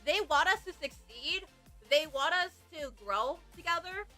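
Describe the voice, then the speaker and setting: Bubbly high-voiced young woman streamer. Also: lively intonation, a little slow, pushed and bright. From Hawaii. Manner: bubbly, rambling, many fillers, squealing greetings. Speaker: VTuber streamer. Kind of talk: casual livestream chat. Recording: faint music behind. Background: faint background music.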